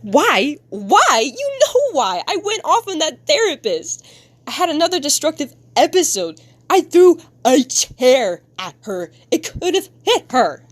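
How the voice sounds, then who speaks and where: A woman's voice: on the high side, sing-song, a little slow, long pauses, a bit bright, exaggerated voice. A woman voicing an upset child who bitterly accuses a parent in a whiny, emotional comic dub. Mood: agitated, confessing.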